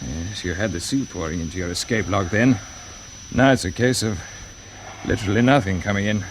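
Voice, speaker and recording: An actor with a British accent playing a calm, commanding space pilot in clipped 1950s radio drama style, giving steady instructions. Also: male voice, fairly low pitch, a little fast, a little hiss or hum, natural voice, low-quality recording. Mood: brisk, steady. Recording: vintage radio broadcast with music behind.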